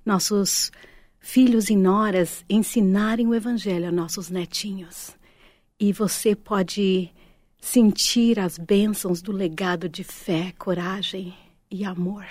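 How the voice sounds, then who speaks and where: A middle-aged woman whose first language is Portuguese, speaking as a guest in a gentle, hesitant way, with many fillers. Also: mid-range voice, fairly even intonation, a little slow, no echo. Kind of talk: heartfelt personal testimony.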